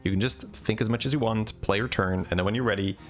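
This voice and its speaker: A man giving relaxed, chatty game commentary and answering chat questions, with faint music behind. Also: fairly low pitch, quick pace. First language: Dutch. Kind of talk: casual gameplay commentary.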